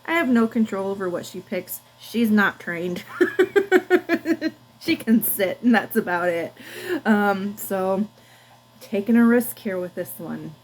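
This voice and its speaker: A woman's voice: medium pitch, animated, slow, a little hiss or hum, low-quality recording. A woman book vlogger chatting casually to camera in informal, slangy language.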